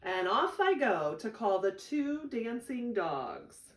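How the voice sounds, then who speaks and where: A woman reading a children's picture book aloud in an expressive storytelling style. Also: on the high side, takes it slowly. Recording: echoey room, distant microphone.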